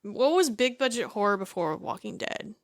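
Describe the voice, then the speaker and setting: A woman co-hosting a casual podcast chat, animated and opinionated, often laughing. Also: mid-range voice, normal speed, dry recording, studio quality. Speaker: podcast co-host.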